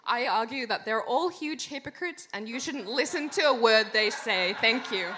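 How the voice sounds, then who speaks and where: A woman's voice: fairly high pitch, lively intonation, moderate pace, background noise. A woman with a Finnish accent giving a mock-formal comic debate speech into a stage microphone, breaking into laughter. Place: live bar stage with audience.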